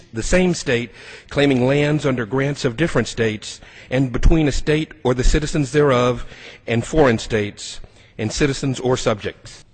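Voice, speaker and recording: Hoarse-edged man reading in a hall. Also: mid-range voice. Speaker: legislator reading a legal text aloud. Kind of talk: formal read-aloud. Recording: reverberant large legislative chamber.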